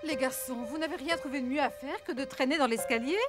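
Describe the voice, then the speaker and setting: A woman playing a brisk, cheerful elephant mother in a cartoon dub, expressive and motherly. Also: high-pitched, lightly acted. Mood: wry. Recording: music behind the voice.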